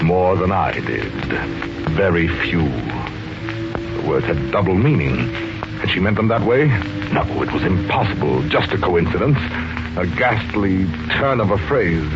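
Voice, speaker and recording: An actor playing a scheming, guilt-ridden widower in a 1940s radio mystery, speaking in a brooding, deliberate way. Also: male voice, deep voice, exaggerated voice. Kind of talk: 1940s radio mystery acting, with brooding first-person narration. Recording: muffled 1940s radio recording with music.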